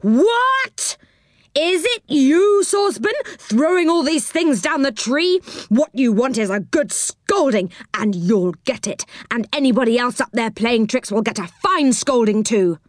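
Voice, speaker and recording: What's-His-Name, a woman's loud indignant scolder. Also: on the high side, big pitch swings, moderate pace, no room sound, clean studio sound, voice pushed up. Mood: angry, scolding. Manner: shouting, scolding. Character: bad-tempered scolding storybook man, loud and indignant.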